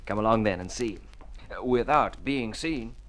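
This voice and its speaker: An actor playing a naive, excitable young English suitor with chummy, upper-class phrasing in an old radio drama. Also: male voice, fairly low pitch, normal speed, natural voice. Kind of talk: 1950s radio drama acting. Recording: noisy old radio recording.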